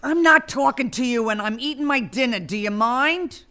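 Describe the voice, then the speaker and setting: A woman's voice: high-pitched, normal speed, bright, forceful tone. A woman playing a nagging, unimpressed vulture in a comic audio play, sardonic and complaining, with a New York accent. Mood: indignant. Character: brassy, nagging, unimpressed female vulture, squabbling mate.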